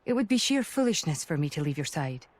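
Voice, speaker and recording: A woman's voice: sits low, very animated, quick pace, darkish tone. Earnest, formal female imperial officer. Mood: resolute. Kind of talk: dramatic video game voice acting.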